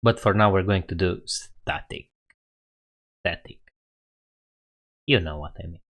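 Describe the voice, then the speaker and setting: A man's voice: low voice, measured pace, fairly bright tone. A man with a Romanian accent hosting a live coding stream, thinking aloud and correcting himself as he types. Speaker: coding livestream host. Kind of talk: casual live coding narration.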